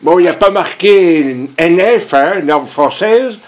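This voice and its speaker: A man's voice: high voice, a little slow, slight background noise. A man voicing a pushy, rambling, naive old grandfather in a prank phone call. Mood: boastful. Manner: insistent, rambling, repeats himself.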